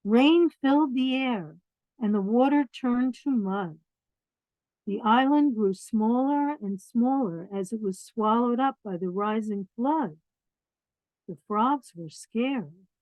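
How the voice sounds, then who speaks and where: Female children's storyteller, unhurried and expressive, reading picture books with simple asides; dull, roomy recording. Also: fairly high pitch. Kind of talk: read-aloud of picture books with asides to young listeners.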